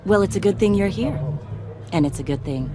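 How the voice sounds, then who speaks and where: A woman's voice: mid-range voice, lively intonation, a little fast, over music, close to an everyday voice. An actress playing a bold, flirtatious woman in a video game, speaking in a coy, teasing manner. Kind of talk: dramatic video game acting.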